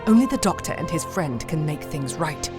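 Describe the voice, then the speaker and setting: A noblewoman in high authority , acted by a woman, grave and earnest. Her voice is fairly low and formally delivered as audio drama, with clear music underneath. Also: expressive, moderate pace. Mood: grave, resolute. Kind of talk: fan audio drama acting.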